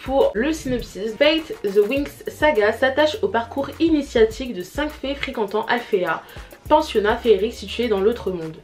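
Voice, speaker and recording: A woman's voice: a little high, lively intonation, moderate pace, darkish tone, faint music behind. Chatty young woman rambling to camera. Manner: chatty, rambling with many fillers and restarts. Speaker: vlogger reviewing series. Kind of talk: casual chat to camera. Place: home, family noises around. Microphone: camera mic.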